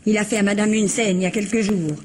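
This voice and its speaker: An actress playing a plain-spoken, proud older household cook in a radio mystery, husky, rambling and naively blunt. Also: female voice, medium pitch, fairly even intonation, quick pace, a little mellow, a little hiss or hum, close to an everyday voice. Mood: blunt, confiding. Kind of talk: French radio mystery drama acting.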